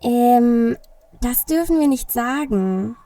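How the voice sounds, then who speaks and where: A woman's voice: a little high, expressive, slow, dark tone, dry recording, distant mic. A woman playing a grumpy, sneaky male Christmas elf in an audio play, bossy and grumbling. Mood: defensive.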